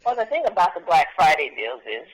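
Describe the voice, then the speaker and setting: A woman's voice: fairly low pitch, big pitch swings, brisk, low-quality recording. Chatty woman singer as a guest. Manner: friendly, chatty, with frequent ums. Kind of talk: casual interview chat.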